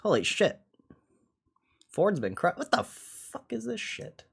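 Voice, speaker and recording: A man's voice: sits high, huge pitch swings, slow, creaky, breathy edge. A man livestreaming casual stock commentary, thinking aloud in a blunt, slangy, offhand way with plenty of swearing. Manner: foul-mouthed, blunt.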